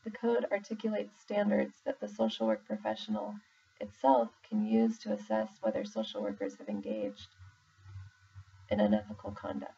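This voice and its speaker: Lady reading an official document out loud, mellow and really creaky, pauses between phrases. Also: medium pitch. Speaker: reader, social worker in exam preparation. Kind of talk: reading a formal document aloud. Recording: muffled, distant mic with faint background noise.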